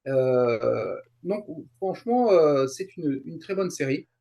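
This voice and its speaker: A man with a fairly high voice talks as a guest in a casual interview. He explains things in a halting way, pausing and rephrasing as he goes. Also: lively intonation, creaky, mellow, dull audio. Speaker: interview guest, YouTuber and translator.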